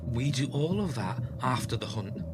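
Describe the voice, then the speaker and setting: An actor playing a friendly, chatty hotel worker with a dry joking streak in a radio play. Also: male voice, medium pitch, very animated, normal speed, close to an everyday voice. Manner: chatty, casual, dryly joking. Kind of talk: radio drama acting. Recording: clear noisy ambience behind the voice.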